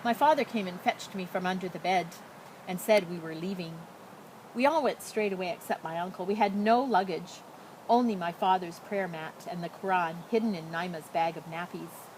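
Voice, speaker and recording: A woman's voice: fairly low pitch, moderate pace, a little mellow, close to an everyday voice, poor audio. A woman voicing a sad young refugee schoolboy, telling things plainly and earnestly in a storybook. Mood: sombre recounting. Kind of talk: picture-book read-aloud. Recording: noticeable background noise.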